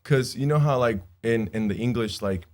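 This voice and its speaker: A man's voice: mid-range voice, normal speed. A man in his twenties from Honduras, a native Spanish speaker, chatting as a podcast guest, with lots of fillers and frequent laughs. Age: 20s. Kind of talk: casual podcast chat.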